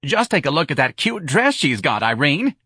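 A man voicing a bossy, nosy and excitable woman in an audiobook, with a brash, folksy New Orleans accent. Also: fairly high pitch, moderate pace, breathy edge, dry recording, studio quality, pitched above the performer's own voice, full character voice. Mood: excited. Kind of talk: audiobook character voice.

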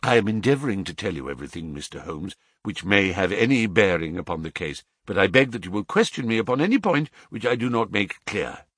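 A man's voice: fairly low pitch, very animated, brisk, few pauses, fairly bright tone, slightly rough, no room sound, studio quality, heavily acted. A man voicing an anguished middle-aged father who tells his tale in formal, melodramatic Victorian phrases, in an audiobook story. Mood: earnest, anxious.

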